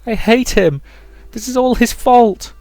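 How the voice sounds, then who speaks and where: A man's voice: extremely high pitch, unhurried, a little mellow, no echo, faint music behind, pitched above the performer's own voice. A man voicing a distraught, volatile young noblewoman in a visual novel, with a dramatic, pleading manner. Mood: bitter, upset.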